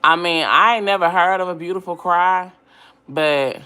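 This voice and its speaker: Low scratchy-voiced woman hosting a livestream. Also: moderate pace, sharp tone, smooth. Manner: reads viewer comments aloud and answers them, chatty and upbeat. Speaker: livestream host. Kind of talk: casual livestream chat with viewers.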